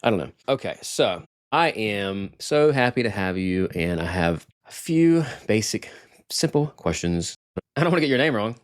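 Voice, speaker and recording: A low-pitched man hosts a casual podcast interview, rambling and enthusiastic. The recording is dry and close, with no room sound. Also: expressive, normal speed, dry recording. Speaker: podcast host.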